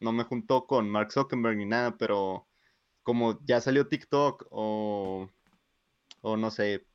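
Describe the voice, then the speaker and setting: Smooth-voiced young Mexican man. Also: fairly low pitch, no echo, lo-fi sound. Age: 20s. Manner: hesitant, fills pauses with "este". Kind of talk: casual chat.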